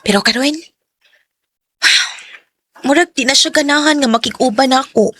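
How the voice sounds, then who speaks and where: High-voiced heartbroken young woman, noisy recording. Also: a little slow, pushed and bright. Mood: sad, troubled. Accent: Cebuano Filipino. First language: Cebuano. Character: young woman hurt by a cold, neglectful boyfriend. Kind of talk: Cebuano radio drama acting with first-person narration.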